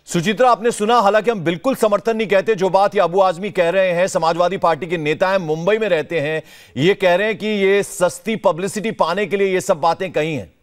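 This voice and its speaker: A man's voice: high-pitched, brisk. A man presenting and interviewing as a television news anchor, speaking insistently and repeating himself. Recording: music behind the voice.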